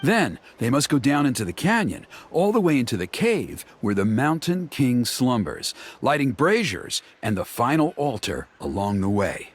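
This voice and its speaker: Man playing an earnest, highly animated young tribesman. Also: moderate pace, few pauses, a little hiss or hum, natural voice. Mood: explanatory, serious. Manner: explanatory, earnest. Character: earnest young tribesman explaining a sacred ceremony. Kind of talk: video game character voice acting. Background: music.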